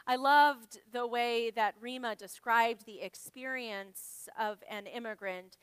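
Bright-voiced woman giving a measured sermon. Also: fairly high pitch, clean tone, no room sound. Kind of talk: reflective sermon reading from congregants' writings.

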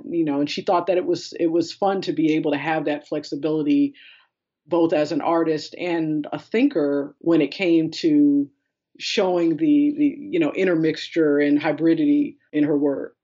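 A woman's voice: low voice, mellow. Woman curator in dull, lo-fi audio. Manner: discursive, explanatory, quotes others in conversational paraphrase. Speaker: art curator being interviewed. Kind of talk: conversational interview answers.

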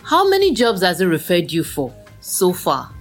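A woman's voice: on the low side, huge pitch swings, normal speed, soft background music, exaggerated voice. A woman playing a chatty, teasing young Nigerian best friend in an audio drama, full of exclamations and slang. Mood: envious, playful.

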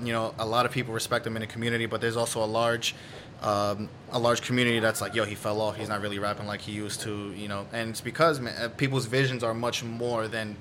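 Chill ny guy hosting a podcast, monotone, lots of you know, faint background hiss. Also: mid-range voice. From New York City. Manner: laid-back, filler-heavy. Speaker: podcast co-host. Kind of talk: casual chat.

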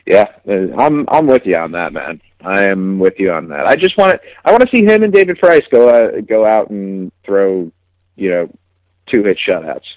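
A man with a lowish voice talks sports analysis in a casual way. He is reflective and halting, often repeating words as he works through a thought. The recording is dry and close. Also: very animated, no echo, low-quality recording. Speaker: sports journalist guest.

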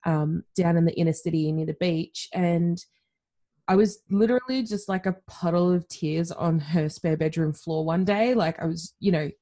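A woman's voice: lowish voice, normal speed, warm tone. A woman with an Australian accent, a podcast guest telling her story in a chatty, candid way and laughing often. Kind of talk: conversational storytelling.

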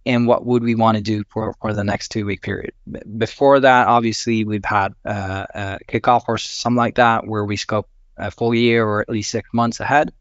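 A man's voice: on the low side, level tone, normal speed, no room sound. A man from Sweden, a company CEO, explaining his process methodically with many "uh"s in a panel podcast. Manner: methodical, filler-laden explaining. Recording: dull dry lo-fi sound.

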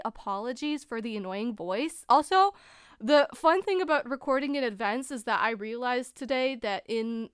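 A congested woman co-hosting a casual podcast, chatty and quick to laugh at herself. Her voice sits a bit high and comes across smooth. The sound is close and dry, with no room around it. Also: a bit bright, no echo. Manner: chatty, laughs often, self-deprecating. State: congested from a cold. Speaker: podcast co-host.

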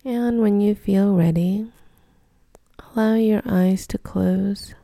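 A woman leading a guided meditation in a gentle, soothing, breathy near-whisper with long pauses. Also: medium pitch, steady tone, no echo, clean studio sound.

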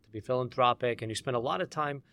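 A man's voice: medium pitch, quick pace, fairly bright tone, smooth, no room sound. Friendly male podcast host, dry sound. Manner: friendly, complimentary questioning. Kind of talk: conversational interview.

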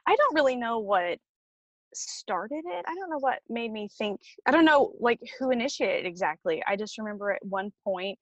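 A woman's voice: on the high side, expressive, moderate pace, no echo, muffled recording. A woman guest on a podcast, reminiscing hesitantly and searching for words. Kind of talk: casual interview reminiscing.

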